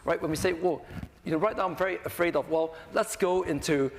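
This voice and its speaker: A man with a fairly high, gravelly voice talks off the cuff on a panel, tagging his points with little check-in questions. The hall rings around him, with some noise. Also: a little fast. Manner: conversational, peppered with "right?" tags. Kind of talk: unscripted panel discussion.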